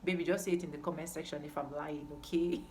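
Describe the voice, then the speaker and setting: A young woman with a Nigerian accent talks casually to her viewers in a fairly low voice. She is emphatic, often repeating a phrase to stress it. There is faint noise behind her. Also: fairly even intonation, fast talker, a little mellow. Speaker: vlogger. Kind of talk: casual chat to camera.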